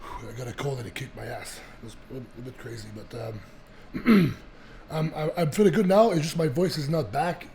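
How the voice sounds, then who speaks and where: A young man with a voice gone raspy from a cold, chatting casually to his viewers in a rambling way with many restarts and fillers. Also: medium pitch, normal speed, a little mellow, a little hiss or hum. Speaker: vlogger. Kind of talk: casual chat to camera.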